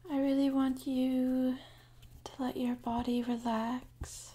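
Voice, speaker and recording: A woman speaking softly and half-whispering in a gentle, soothing, unhurried way, as an ASMR guide leading a sleep meditation. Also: sits high, little pitch movement. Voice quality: soft, breathy, half-whispered.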